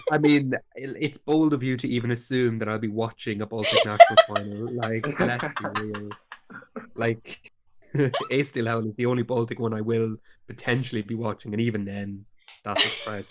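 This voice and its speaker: A man's voice: mid-range voice, very animated, normal speed, very noisy. A man from Ireland co-hosting a podcast in casual chat, complaining theatrically and laughing as he talks. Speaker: podcast co-host.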